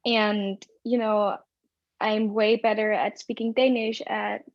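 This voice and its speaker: A woman's voice: mid-range voice, little pitch movement, slow, no echo, highs cut off. A young woman from South Greenland, who grew up with Kalaallisut as her native language, speaking earnestly with many fillers on an online youth panel. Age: young adult. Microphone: video call.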